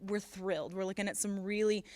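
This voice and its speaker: A woman's voice: medium pitch, flat delivery, brisk, a little mellow, dry recording. A woman speaking enthusiastically as a school theatre director giving interview answers.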